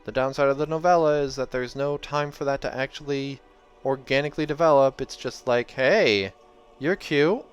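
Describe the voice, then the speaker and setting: A hoarse man reading a novel aloud and chatting casually between passages, with joking asides and many fillers. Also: medium pitch, flat delivery, a little fast, lo-fi sound. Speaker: reader and channel host. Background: soft game music.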